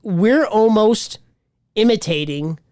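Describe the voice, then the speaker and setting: A man's voice: sits high, expressive, takes it slowly, a little mellow, smooth, no room sound, studio quality. A man co-hosting a podcast in casual chat, talking bluntly and with emphasis, swearing casually. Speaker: podcast co-host.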